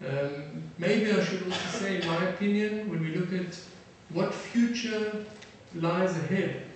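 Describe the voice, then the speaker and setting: Middle-aged guy from south africa giving a talk, lots of ums, higher voice, super echoey hall, mic far off. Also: a little hiss or hum. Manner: halting, many fillers and restarts. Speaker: defense attaché giving a lecture. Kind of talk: lecture to an audience. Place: lecture hall.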